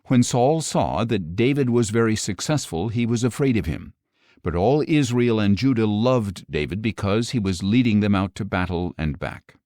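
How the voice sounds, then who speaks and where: A man reading scripture as an audiobook narrator, in a measured, expressive storytelling delivery. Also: fairly low pitch, dry recording, clean studio sound.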